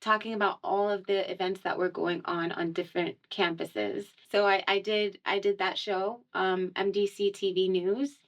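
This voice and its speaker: A woman's voice: mid-range voice, level tone, normal speed, few pauses. A young woman from Miami, a singer guest on a radio show, talking in a chatty, casual way with lots of "um" and "like" and frequent laughter. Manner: chatty, laughs often, many fillers like "um" and "like". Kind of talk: casual conversational interview. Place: radio studio.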